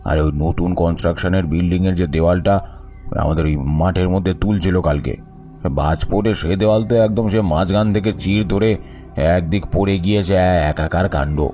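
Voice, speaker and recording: A man's voice: sits low, moderate pace, mellow, soft background music, close to an everyday voice. A man playing a gleeful, conspiratorial old man who gossips emphatically in an audio drama.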